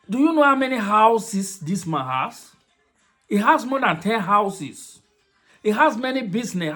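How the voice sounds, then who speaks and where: A man's voice: high-pitched, fairly bright tone. A man with a Yoruba Nigerian accent delivering a fiery, mocking political commentary, switching between Yoruba and English. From southwest Nigeria. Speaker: online commentator.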